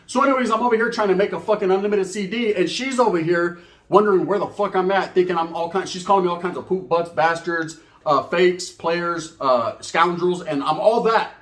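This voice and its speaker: A man tells a comedic story in a high, lively voice, heavy with slang, jumping from loud bursts down to low mutters. The room rings with echo around him. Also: brisk. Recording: echoey room.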